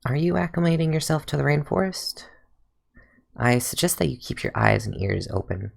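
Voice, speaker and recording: A man voicing a dry, gravelly fox-eared ranger. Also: on the high side, animated, moderate pace, exaggerated voice. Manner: dry, sardonic, with soft musing asides. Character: calm young male fox-eared forest ranger scholar, dry and sardonic. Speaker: fan voice actor. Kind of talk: fan redub of game voice lines.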